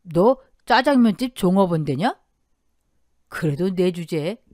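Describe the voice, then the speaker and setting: A woman's voice: on the low side, expressive, a little slow, darkish tone, no echo, studio quality. A woman voicing a mocking, curt older uncle in a radio story drama. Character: sneering, cold-hearted uncle, an older man.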